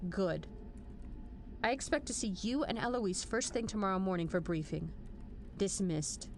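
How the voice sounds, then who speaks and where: An actress playing a stern, exacting major general in an audio drama, firm and emphatic. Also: female voice, mid-range voice, expressive, moderate pace, a little hiss or hum, natural voice. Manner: firm, clipped orders, emphatic.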